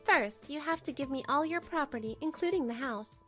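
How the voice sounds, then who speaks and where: Woman doing a hurt but firm wife telling her story, manga dub style, high voice, light music behind. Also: brisk, a bit bright. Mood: firm, controlled. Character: wronged older wife narrating her marriage troubles, pragmatic, firm. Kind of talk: dramatic first-person narration for a manga dub.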